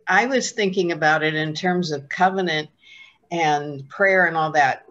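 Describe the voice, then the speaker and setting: A woman's voice: low-pitched, measured pace, fairly bright tone. A woman taking part in a casual, reflective group discussion over a video call. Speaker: discussion participant. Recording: dull, lo-fi video call audio.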